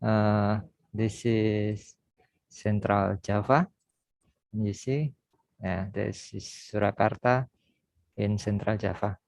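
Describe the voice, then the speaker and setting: A man's voice: fairly low pitch, fairly even intonation, takes it slowly, vocal fry, pauses often, darkish tone, dry recording. A man from Indonesia with an Indonesian accent, giving a slide presentation over a video call in simple, short phrases. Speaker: presenter, hospital multimedia and web worker.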